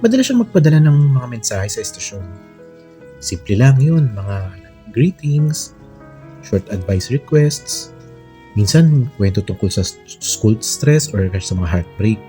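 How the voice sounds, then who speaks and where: A Filipino man narrating a scripted romance story in a sentimental, expressive way over music. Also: mid-range voice, unhurried. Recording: music under the voice. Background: background music.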